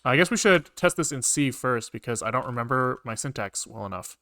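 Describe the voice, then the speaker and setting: A man thinking aloud casually as he programs on a livestream, halting and questioning himself as he mutters along. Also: mid-range voice, lively intonation, bright, forceful tone. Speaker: live-coding streamer, programmer.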